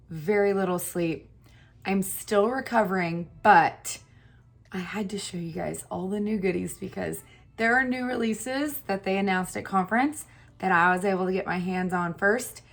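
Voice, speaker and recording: A woman with a hoarse, partly lost voice, chatting casually and laughing at herself while doing her makeup on camera. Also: medium pitch, normal speed. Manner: chatty, self-deprecating, laughs often. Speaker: beauty YouTuber. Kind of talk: casual get-ready-with-me chat.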